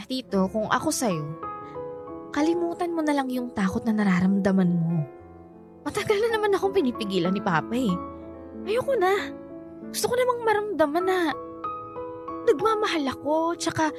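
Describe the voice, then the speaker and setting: A woman acting a lovestruck, insistent younger woman in a Filipino radio drama, coaxing and fussing in a Filipino accent. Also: very high-pitched. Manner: pleading, coaxing, playfully fussy. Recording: soft music underneath. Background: background music.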